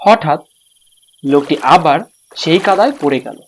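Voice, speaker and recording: A man's voice: fairly high pitch, a little slow, slight background noise. A man narrating a scripted cartoon story as the storyteller.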